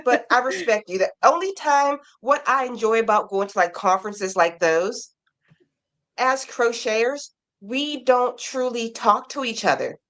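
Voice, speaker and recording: A woman with a slightly high voice hosts a casual interview. She is encouraging and keeps affirming her guest. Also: measured pace, fairly bright tone. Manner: encouraging, frequent backchannel affirmations. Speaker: host.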